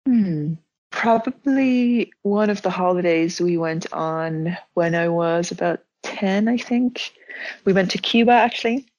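A young woman with a lowish voice tells stories from her own life in a relaxed, reflective way. She circles back and restarts her sentences as she talks. The recording is dry and close, with no room sound. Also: unhurried, no echo, dull audio. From Zimbabwe. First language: Dutch. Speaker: interviewee. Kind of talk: casual conversation.

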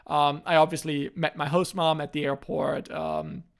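A young man from Germany, casually telling stories as a podcast host, in a relaxed way with frequent restarts. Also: a little high, normal speed, gravelly.

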